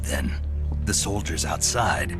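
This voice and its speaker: An actor playing a stoic American special forces agent in a video game, terse and deadpan, with a gravelly voice. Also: male voice, rumbling low, slow, over music, full character voice. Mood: low, guarded. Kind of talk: video game cutscene acting. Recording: game score behind the voice.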